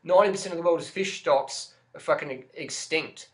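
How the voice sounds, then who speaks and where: Brief: Australian vlogger, 30s, animated rant, webcam in a small room. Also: male voice, on the high side, a little fast. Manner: brash, profane, animated storytelling. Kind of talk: ranting monologue to camera.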